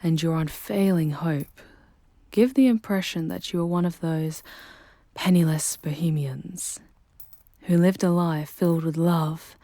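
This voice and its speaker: A woman playing a charming, protective female demon guide in an intimate ASMR roleplay, with soft breathy delivery and formal, measured diction. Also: low voice, no echo. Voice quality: soft, breathy, often whispery.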